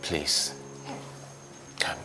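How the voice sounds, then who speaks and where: A man's voice: drawn-out words, pushed and bright, slight background noise, exaggerated voice. An actor playing a husband who kneels and pleads with his wife not to leave him. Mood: pleading. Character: remorseful husband begging his wife to stay.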